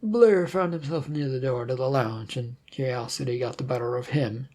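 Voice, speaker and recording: A storyteller with a husky, gravelly voice reading scripted science fiction narration. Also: mid-range voice, normal speed, mellow. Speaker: story reader.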